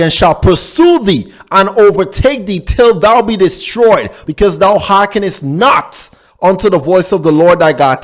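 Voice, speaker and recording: A man from Guyana preaches in a fairly high voice. He reads scripture slowly, with drawn-out, sing-song emphasis. Between the verses he drops into loose, casual street talk to explain them. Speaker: religious teacher.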